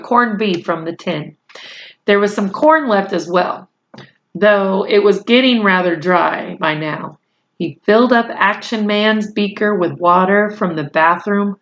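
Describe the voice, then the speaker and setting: Slow-paced woman reading a storybook. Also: medium pitch, fairly bright tone, lo-fi sound. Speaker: storybook reader. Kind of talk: read-aloud narration of a children's novel.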